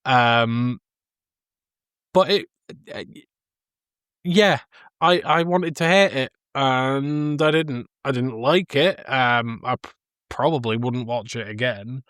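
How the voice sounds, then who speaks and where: A man's voice: mid-range voice, takes it slowly, long pauses, a bit bright, clear, resonant tone, no room sound, studio quality. A man in his thirties co-hosting a podcast, casually discussing film in hesitant, stop-start phrases. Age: 30s. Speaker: podcast co-host.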